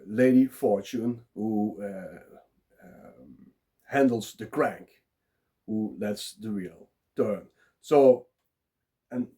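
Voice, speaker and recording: A man with a Dutch accent, talking live and unprepared as a blogger, pausing often with filler sounds. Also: lowish voice, mellow. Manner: halting, many filler sounds. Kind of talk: unscripted solo talk.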